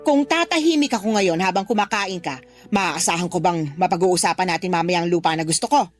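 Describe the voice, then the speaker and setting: A woman's voice: fairly low pitch, fairly quick, no echo, close to an everyday voice. An actress playing a demanding middle-aged Filipino mother who scolds and guilt-trips in a radio drama. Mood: wounded, scolding. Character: demanding, nagging mother pressuring her grown daughter. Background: background music.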